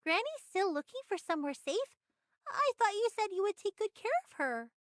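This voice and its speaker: A woman voicing an excitable, dramatic young girl space pirate in an anime game. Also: very high-pitched, moderate pace, no room sound, clean studio sound. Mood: worried, panicked. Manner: bubbly, exclamatory, dramatic.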